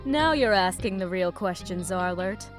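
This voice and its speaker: A woman playing an eccentric, curious lab scientist in an audio drama, musing and rambling in an excitable way. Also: mid-range voice, moderate pace, music in the background, lightly acted. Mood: explaining, pensive. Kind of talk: scripted audio drama acting.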